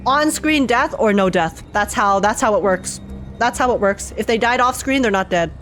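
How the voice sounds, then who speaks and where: A woman gaming streamer giving casual, slangy, excitable reaction commentary, often repeating phrases for emphasis. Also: fairly high pitch, normal speed, faint music behind.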